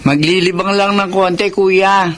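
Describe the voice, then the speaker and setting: A woman's voice: mid-range voice, steady tone, a little slow, close to an everyday voice. An actress playing a grasping, indignant middle-aged mother in a radio drama. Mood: defensive. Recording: noisy radio drama recording.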